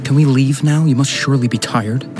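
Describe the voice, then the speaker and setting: Stiff, proper young gentleman played by a man. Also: mid-range voice, steady tone, over loud music, lightly acted. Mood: earnest. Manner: formal, measured. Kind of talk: radio drama acting.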